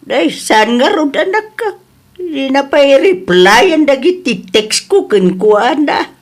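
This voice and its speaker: Woman playing nosy filipina maid in a radio-style drama, ilocano accent, highish voice, excitable n scolding. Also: takes it slowly, heavily acted. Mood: worried. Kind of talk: Ilocano audio drama acting.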